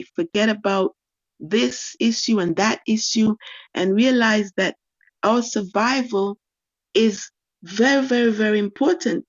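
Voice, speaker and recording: A woman giving an impassioned speech as a guest, calling again and again for people to come together. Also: mid-range voice. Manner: rousing, repetitive calls to unite. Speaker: guest speaker. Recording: lo-fi audio-room stream.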